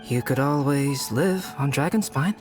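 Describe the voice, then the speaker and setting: Man playing a calm, measured young scholar. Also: medium pitch, loud music behind the voice, close to an everyday voice. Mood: mildly amused. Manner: measured, articulate, composed. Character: calm, polite young male scholar, gently teasing. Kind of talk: video game cutscene voice acting.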